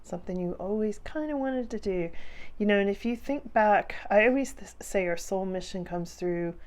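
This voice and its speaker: A slightly hoarse woman giving a casual, chatty tarot reading, speaking directly to her viewers and repeating phrases for emphasis. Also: mid-range voice, normal speed, darkish tone. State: slightly hoarse after a cough. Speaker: tarot reader and channel host.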